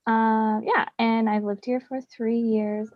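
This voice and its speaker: A woman's voice: a little high, little pitch movement, slow pace, dry recording. A young woman from Canada, an accounting student, talking casually and hesitantly with many "like" fillers. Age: young adult. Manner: hesitant, lots of "like". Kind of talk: casual chat. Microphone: video call. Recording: dry, dull video call audio.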